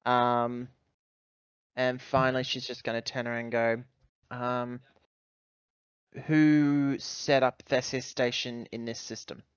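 A man chatting casually as a player in a tabletop roleplaying game, thinking aloud in a halting way. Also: medium pitch, flat delivery, clean tone, no echo, lo-fi sound.

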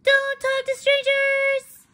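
A woman's voice: very high voice, completely flat delivery, slow pace, bright, forceful tone, faint music behind, pitched above the performer's own voice, full character voice. A woman voicing an eager, childlike little red chicken daughter, pleading and exclaiming, in a picture-book read-aloud. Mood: urgent warning.

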